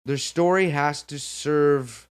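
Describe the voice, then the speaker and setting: A man co-hosting a gaming podcast in casual banter, blunt, opinionated and quick to swear. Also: fairly high pitch, smooth.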